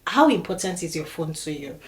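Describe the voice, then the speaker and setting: A woman's voice: fairly low pitch, sing-song, a little fast. Middle-aged woman hosting a panel. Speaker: podcast host and moderator.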